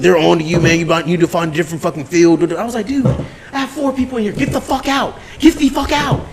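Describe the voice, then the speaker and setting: A man telling a story in an animated, casual way, swearing freely in slangy speech and acting out both sides of arguments. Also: on the high side, gravelly. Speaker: podcast host recapping his weekend.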